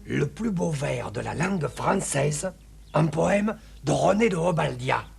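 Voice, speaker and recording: An actor playing a pompous, theatrical poetry lecturer who addresses children in a grandiloquent, emphatic declamation, savouring each word. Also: male voice, on the high side, vocal fry, a bit bright, heavily acted. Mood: grandly solemn.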